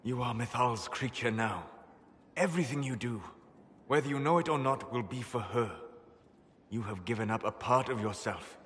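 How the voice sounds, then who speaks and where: An actor playing a solemn, melancholy elven mage in a video game, speaking in a measured, formal, earnest way. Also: male voice, medium pitch, a bit bright, natural voice. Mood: urgent, grave. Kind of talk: dramatic video game dialogue. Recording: noisy, long reverberant hall.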